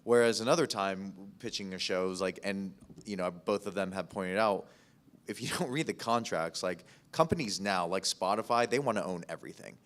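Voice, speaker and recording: A man speaking informally as a podcast producer on a panel, explaining things in a halting, rambling way. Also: sits low, a bit bright.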